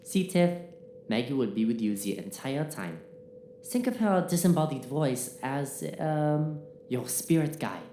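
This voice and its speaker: A reasoning, wry female crew member, played by a woman with a low, mellow voice. She coaxes deliberately in sci-fi audio drama style, in a roomy recording with faint noise. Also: expressive. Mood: coaxing. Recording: echoey room with faint noise.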